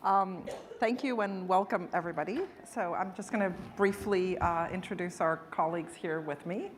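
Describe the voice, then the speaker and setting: Low-voiced woman moderating a panel, faint noise. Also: normal speed. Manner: hesitant, many ums. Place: conference stage.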